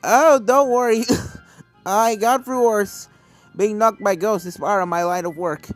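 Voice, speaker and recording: A woman's voice: medium pitch, a little slow, voice pushed up, natural voice. A woman voicing a brave, kindly old male unicorn ghost hunter in a story reading, hearty and reassuring, with music behind. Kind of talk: fan fiction story reading.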